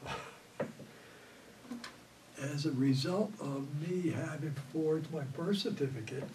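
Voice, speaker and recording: Older male veteran interviewee, rambling storyteller, mic set back with steady background noise. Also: on the high side, takes it slowly. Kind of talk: oral history recollection. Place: indoor studio before green backdrop. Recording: distant mic, audible room noise.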